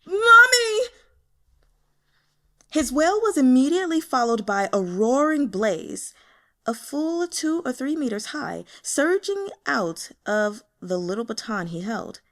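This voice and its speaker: A woman narrates fiction in an expressive audiobook style. Her pitch is lively and varied as she reads. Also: a little slow. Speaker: audiobook reader.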